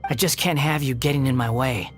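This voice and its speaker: A man's voice: medium pitch, a little fast, fairly bright tone, natural voice. A man playing a sardonic, haughty young villain in a video game, with a mocking, theatrical, condescending delivery. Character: sardonic, haughty young male puppet villain with bitter temper. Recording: music behind the voice.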